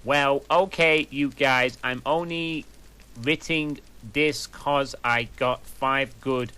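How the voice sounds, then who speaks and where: A man's voice: mid-range voice, sharp tone, exaggerated voice. A man voicing a whiny, defensive teenage girl who wrote the fan story. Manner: indignant, whiny.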